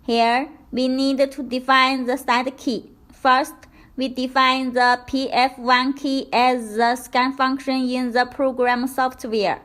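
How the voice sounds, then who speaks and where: A woman presenting a product tutorial, reading scripted instructions in a careful, deliberate step-by-step way. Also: high-pitched, flat delivery, fairly bright tone.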